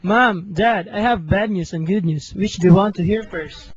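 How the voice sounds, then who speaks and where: A man's voice: sits high, normal speed, breathy edge, dry recording. A young man playing a lovesick, self-sacrificing hero in a student radio drama, earnest and melodramatic. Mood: earnest, defiant. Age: young adult. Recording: muffled amateur recording, music behind.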